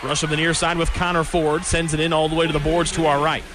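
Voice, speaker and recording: A man's voice: fairly high pitch, flat delivery, quick pace, a crowd in the background. A man doing live radio hockey play-by-play, describing the action in clipped, descriptive fragments. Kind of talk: live sports commentary. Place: hockey arena during a live game.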